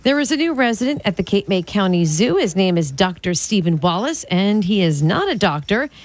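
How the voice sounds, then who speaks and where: A woman anchoring a radio news bulletin, reading in a steady, measured way. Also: lowish voice.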